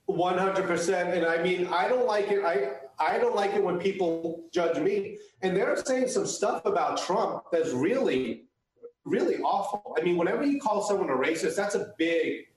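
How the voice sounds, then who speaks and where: Male interview guest, native Chinese speaker, earnest with frequent restarts, slightly high voice, very echoey room. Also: expressive, normal speed. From United States. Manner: earnest, many restarts and fillers. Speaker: guest, photographer. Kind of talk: interview answers.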